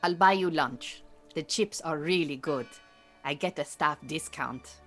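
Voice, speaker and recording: An Iranian refugee woman, a patient and earnest beekeeper in an audio play. Her voice sits low for a woman. She phrases things in a measured, dry way, with music playing faintly behind her. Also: a bit bright. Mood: enthusiastic. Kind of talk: naturalistic audio drama dialogue.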